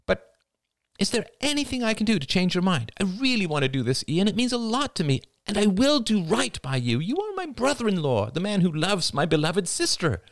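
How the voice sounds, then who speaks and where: Audiobook role: eager female journalist, pushy, lifted lively voice performed by a man. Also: on the high side, fairly quick, no room sound, studio quality, voice pushed up. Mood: pleading. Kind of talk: audiobook character voice.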